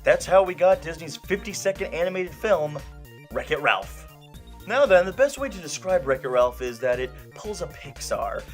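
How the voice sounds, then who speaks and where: Animated man narrating a scripted review. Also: fairly high pitch, normal speed, faint music behind. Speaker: film reviewer, show host.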